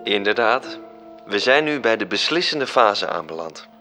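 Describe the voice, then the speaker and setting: A man's voice: lowish voice, big pitch swings, normal speed, pushed and bright. A man playing a composed, solemn storyteller in a literary radio drama, narrating. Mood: explanatory. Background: music.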